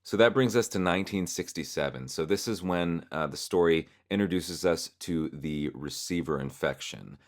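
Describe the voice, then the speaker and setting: A man with a strikingly deep, bottom-register voice hosts an explainer. He narrates in a measured, explanatory manner, moving between scripted delivery and looser commentary. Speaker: host explaining a horror web series.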